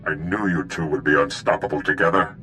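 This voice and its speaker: An actor playing a grave, authoritative mentor master in a video game, with a gravelly, stately delivery. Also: male voice, very deep voice, fairly even intonation, a little fast, bright, forceful tone, soft background music, rough recording. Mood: solemn, pleased.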